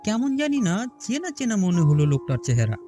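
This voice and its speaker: A man's voice: high-pitched, wildly swooping pitch, brisk, mellow. A young man playing a polite, eager train ticket inspector, narrating a scripted first-person ghost story expressively over music. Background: background music.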